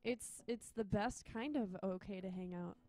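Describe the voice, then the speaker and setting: A woman's voice: medium pitch, moderate pace, mellow, no echo. Bubbly young woman chatting on a livestream. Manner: bubbly, giggly, chatty with viewers. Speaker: band singer hosting a livestream. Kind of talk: casual chat between songs. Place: indoor rehearsal room with drum kit. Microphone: handheld microphone.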